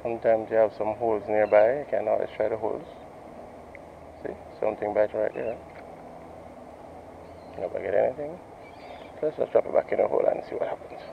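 A man from Jamaica casually narrating his fishing trip from a rocky shore, talking to the viewers in a relaxed, muttered way. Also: on the low side, normal speed, vocal fry, pauses often. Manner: relaxed running commentary, often muttered. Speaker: fishing vlogger. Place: rocky shore by a bridge, outdoors. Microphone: head-mounted action camera. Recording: lo-fi action-camera audio with outdoor noise.

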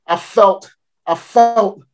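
A woman's voice: mid-range voice, very slow. A scornful street fruit seller, as a woman performs her. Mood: shaken. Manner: folksy, dramatic, with repeated builds and sudden shouts. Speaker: dramatic monologue performer. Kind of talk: theatrical spoken-word monologue.

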